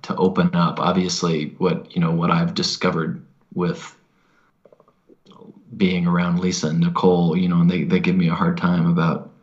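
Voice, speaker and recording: A man with a low, flat voice asks questions in a conversational, hesitant way, feeling for his words as he goes. The audio is slightly dull. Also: normal speed. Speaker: client, skeptic receiving a reading.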